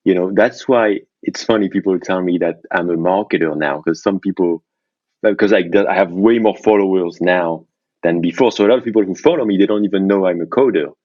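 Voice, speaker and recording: A man talking casually and candidly as a podcast guest on a phone call, in a halting way with repeated restarts. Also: low-pitched, dry recording. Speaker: podcast guest, indie software founder. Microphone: remote phone call. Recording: dull, dry phone-call audio.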